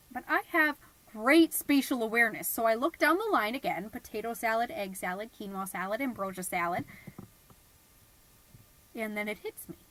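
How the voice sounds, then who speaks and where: A woman's voice: on the high side, fairly bright tone, rough recording. A woman playing a self-important, theatrical suburban mom who tells her story in a comedic scripted podcast monologue. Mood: brisk, puzzled. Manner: theatrical, pompous, indignant. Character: melodramatic suburban mom, self-important party planner, easily exasperated. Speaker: podcast host character narrating.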